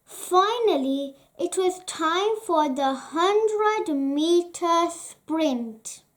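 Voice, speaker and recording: A reader narrating a children's bedtime story in a deliberate, pausing storytelling way. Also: very high-pitched, a bit bright.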